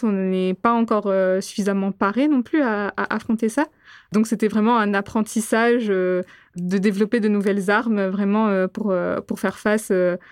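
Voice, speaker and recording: A young woman from Réunion, whose first language is Gujarati, answering interview questions thoughtfully with many pauses and fillers. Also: medium pitch, a little mellow, clear, resonant tone, dry recording, studio quality. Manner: thoughtful, frequent hesitations. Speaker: guest.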